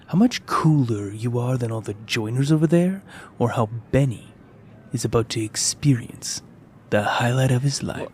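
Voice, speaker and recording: A man's voice: medium pitch, moderate pace, a little mellow, slight background noise, close to an everyday voice. A man playing a smug teenage football jock and bully in an audio drama, mocking and condescending as he taunts.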